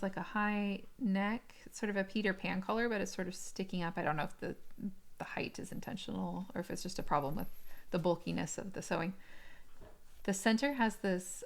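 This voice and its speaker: A woman giving a casual, unscripted unboxing review, describing small details in a hesitant, piece-by-piece way. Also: medium pitch. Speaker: doll collector reviewing an unboxing.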